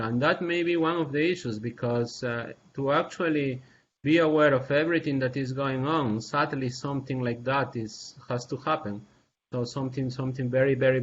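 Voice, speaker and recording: A man from Peru, speaking as an assistant professor on a video call panel, earnest and halting, with many fillers and restarts. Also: mid-range voice, a little hiss or hum. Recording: dull lo-fi video call audio.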